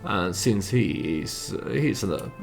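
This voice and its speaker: A man's voice: sits low, expressive, slow, gravelly, soft background music. A man who is not a native English speaker gives casual gameplay commentary with storytelling, in a hesitant, meandering manner. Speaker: let's play host.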